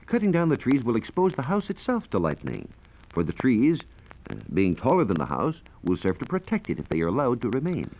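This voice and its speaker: Low-voiced man, old 1940s radio science explainer. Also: a little mellow. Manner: measured, explanatory, precise. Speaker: science reporter on a radio program. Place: radio studio. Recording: dull, noisy 1940s radio recording.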